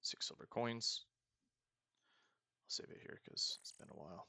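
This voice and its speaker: A man's voice: normal speed, background noise. A man casually commenting while he plays a video game, thinking aloud and hedging often. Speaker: let's play host.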